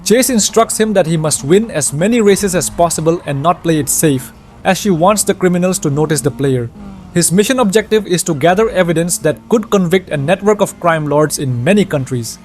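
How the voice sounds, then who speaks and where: A man's voice: sits high, animated, a little fast, a little mellow, slight background noise. A man reading a scripted narration that retells a video game story.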